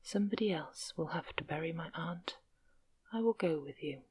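A woman voicing a humble young prince raised by peasants, in a soft whisper. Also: low-pitched, normal speed, a little hiss or hum, lightly acted. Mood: earnest.

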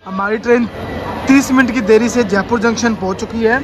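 A young man narrates a train journey for his vlog in a chatty, casual way. His voice sits high. A crowd bustles loudly around him on the platform. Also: a little fast, music in the background. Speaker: vlogger. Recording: crowded train station noise.

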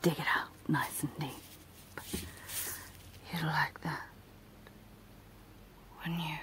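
A woman voicing a creepy evil clown dentist in a menacing, teasing, breathy whisper for an ASMR horror roleplay. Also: sits low, fairly even intonation, fairly bright tone, exaggerated voice. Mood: gleeful menace. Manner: slow, teasing, menacing, drawn-out phrases. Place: small dark room indoors, close to the mic.

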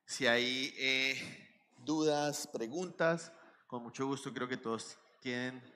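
A man's voice: medium pitch, slow pace, sharp tone. A middle-aged man with a Colombian accent giving a conference talk on stage, explaining and putting questions to the audience. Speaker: conference speaker. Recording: reverberant hall, faint ambience.

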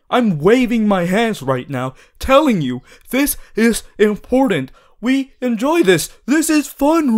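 A man playing an earnest, bossy, worried young student hero in an audio roleplay, talking to the listener in an insistent, halting, emphatic way. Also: sits high, heavily acted. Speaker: voice actor.

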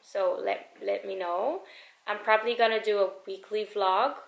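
A woman's voice: medium pitch, steady tone, moderate pace, vocal fry. A woman in her thirties chatting casually to camera as a vlogger, with plenty of ums and uhs. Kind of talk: casual vlog talk to camera. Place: home kitchen. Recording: echoey room.

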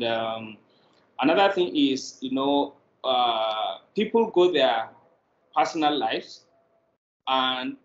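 A middle-aged man with a Nigerian accent explains his work in an interview. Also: medium pitch. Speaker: guest, drama producer. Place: indoor room, video call. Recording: roomy, muffled, noisy video call.

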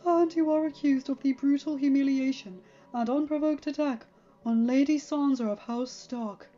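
A woman's voice: sits high, moderate pace, mellow, pitched above the performer's own voice, close to an everyday voice. A woman voicing a wheezy, formal old man who serves as grand maester, recorded dull and lo-fi over music.

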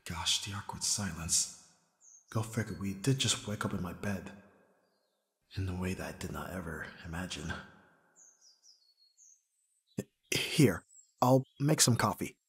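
Guy playing an awkward hungover young dude in an asmr roleplay, lowish husky voice, stammers a lot. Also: slow pace, pauses often, dry recording, heavily acted. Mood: awkward, subdued. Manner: hesitant, stammering, often dropping to whispers. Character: flustered, awkward hungover young man, listener's best friend. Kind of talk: ASMR roleplay audio drama acting.

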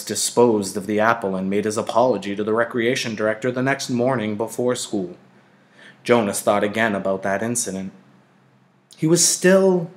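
Male narrator, lower register, expressive audiobook-style reading of fiction with deliberate phrasing. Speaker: teacher reading a novel aloud.

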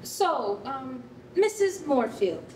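A woman plays an upbeat, patient school counselor with a very high voice. It is a staged reading, picked up from a distance in a roomy hall with faint noise. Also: very animated, slow pace, darkish tone, full character voice. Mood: concerned. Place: concrete rehearsal hall, live staged reading. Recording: roomy, distant mic, noisy rumble.

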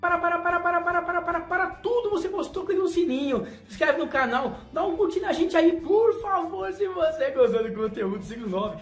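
Shrill hyped Brazilian man in echoey room. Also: expressive, fairly quick, few pauses, faint music behind. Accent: Brazilian Portuguese. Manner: hyped, shrill call to subscribe. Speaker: channel host.

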